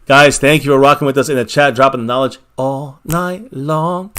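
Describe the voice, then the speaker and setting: A man's voice: mid-range voice, fairly even intonation, moderate pace, fairly bright tone, clear, resonant tone. A man hosting a casual fan livestream from a small home bar, thanking viewers by name and repeating his catchphrases with hype. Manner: hyped, repeats catchphrases, calls viewers baby. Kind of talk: casual livestream sign-off with shout-outs.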